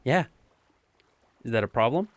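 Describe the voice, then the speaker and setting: A man's voice: medium pitch, lively intonation, moderate pace, dry recording, close to an everyday voice. A young man playing Adam, a cocky but affectionate teenage boy in an audio drama, bantering playfully like a know-it-all.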